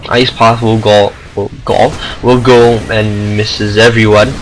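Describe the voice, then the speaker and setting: A man with a Singaporean accent giving casual amateur commentary over a video game match, excitable and rambling. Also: fairly low pitch, slow pace, background noise. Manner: excitable, rambling asides, self-deprecating.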